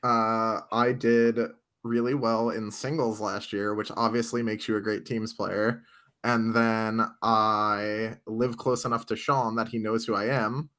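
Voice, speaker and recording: A man's voice: lowish voice, level tone, measured pace, a bit bright. An American man chatting casually as a guest, with a breezy, tongue-in-cheek manner. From United States. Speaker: podcast guest, tournament player. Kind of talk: casual chat.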